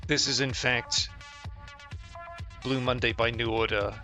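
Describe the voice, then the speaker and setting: A man's voice: medium pitch, fairly even intonation, slow pace, sharp tone, soft background music. A man giving casual, sweary and sardonic commentary as he plays a game and reads its text aloud. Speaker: game streamer.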